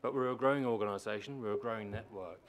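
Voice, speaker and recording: Male presenter, low register, explanatory and emphatic, addressing a formal meeting, faint room noise. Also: fast. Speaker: housing organisation manager presenting to a council. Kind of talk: prepared public submission speech. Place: council meeting chamber.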